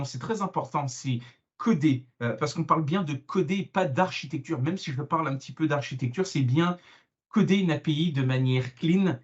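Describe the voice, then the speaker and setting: A man from France, a guest author, answering interview questions over a video call. He speaks hesitantly, filling his pauses with plenty of euh. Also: fairly high pitch, lo-fi sound.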